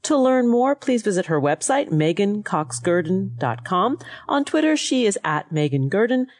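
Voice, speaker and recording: A woman's voice: medium pitch, sing-song, moderate pace. A woman hosting a talk show, presenting to listeners. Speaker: host.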